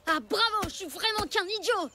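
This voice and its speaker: A woman voicing an earnest, loyal young prehistoric boy in a cartoon, expressive and quick to protest. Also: very high-pitched, noisy background. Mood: dejected, loyal. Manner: earnest, expressive, indignant when wronged. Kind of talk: cartoon voice acting, French dub.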